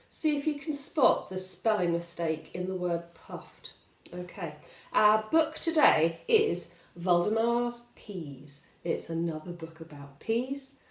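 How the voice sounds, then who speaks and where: Female children's storyteller: highly varied, expressive intonation, addresses young listeners, roomy recording. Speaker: storyteller and host. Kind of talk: children's bedtime story reading.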